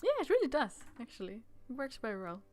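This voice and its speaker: Giggly high-voiced woman, game stream guest. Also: sing-song, fast, a little mellow. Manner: giggly, appreciative. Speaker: guest player on a game livestream. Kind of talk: casual playthrough chat.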